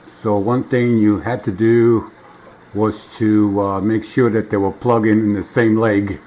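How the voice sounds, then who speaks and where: A man's voice: on the low side, little pitch movement, measured pace. A middle-aged man casually showing a gadget in a rambling, muttering way, recorded at a table at home. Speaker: vintage gadget demonstrator. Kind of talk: casual hands-on demonstration. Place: indoors at a wooden table at home. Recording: dull, noisy home camera audio.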